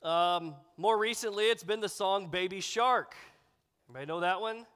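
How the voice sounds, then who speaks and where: Pastor, male, high and nasal with sinus congestion, preaching in a long-reverb church hall. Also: moderate pace, a bit bright, clear, resonant tone. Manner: conversational preaching with fillers. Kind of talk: sermon. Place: church sanctuary.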